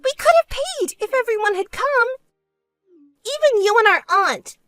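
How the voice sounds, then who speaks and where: A woman's voice: extremely high pitch, lively intonation, normal speed, a bit bright, no room sound, soft background music, voice pushed up. A woman voicing a spoiled, selfish younger sister in a dramatized story, whiny, melodramatic and pleading. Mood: whining complaint.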